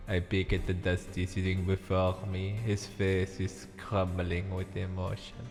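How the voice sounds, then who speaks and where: A brooding vampire master acted by a man speaks in a low, unhurried voice. The delivery is solemn and formal, like visual novel drama, and rings out in a long echoing reverb over music. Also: monotone, darkish tone. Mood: gentle, sorrowful. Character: brooding, soft-spoken ancient male vampire master. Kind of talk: visual novel read-aloud with dramatic voice acting.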